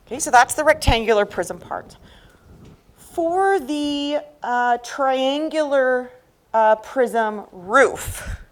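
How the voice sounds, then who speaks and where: A woman teaching a math class, explaining step by step and often checking understanding with questions. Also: fairly high pitch.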